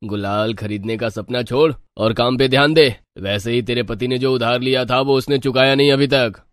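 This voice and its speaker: A man voicing a cruel, greedy old village moneylender, bossy and scornful, in an animated story. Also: medium pitch, normal speed, clear, resonant tone, no room sound, clean studio sound, natural voice.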